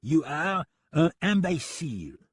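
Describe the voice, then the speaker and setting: A man's voice: medium pitch, sing-song, normal speed, darkish tone, slightly rough, pitched above the performer's own voice. A man voicing a mocking, sly French gunman with a French accent in a western audiobook. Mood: taunting. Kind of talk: western audiobook character acting.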